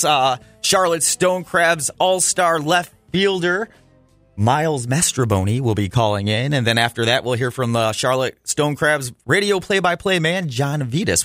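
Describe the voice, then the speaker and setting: A man's voice: mid-range voice, fairly bright tone, dry recording. An American man hosting a sports talk radio show, speaking in a chatty, informal, opinionated way on a close studio microphone.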